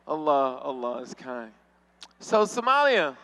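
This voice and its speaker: Guy doing stand-up, high voice, slow drawn out delivery, ribbing the audience and cracking up. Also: sing-song, fairly bright tone, a little hiss or hum, low-quality recording. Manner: teasing, laughs often, crowd work. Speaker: stand-up comedian. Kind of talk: stand-up comedy. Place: convention hall stage.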